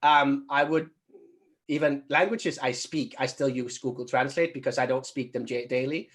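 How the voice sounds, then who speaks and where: A man's voice: mid-range voice, fairly even intonation, moderate pace. A man answering questions casually as a recruitment trainer, thinking aloud and rambling as he explains.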